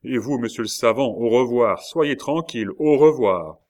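A man's voice: medium pitch, moderate pace, voice pushed up, close to an everyday voice. A man voicing an old jailer father in an audiobook, menacing, sarcastic and choked with anger. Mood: icy mocking triumph. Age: older.

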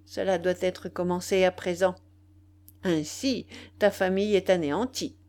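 A woman's voice: on the low side, steady tone, unhurried, darkish tone. A woman voicing a vengeful, gloating male dwarf sorcerer in an audiobook, with theatrical, commanding emphasis. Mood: gloating, menacing. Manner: theatrical, emphatic, cursing with Spanish words mixed in.

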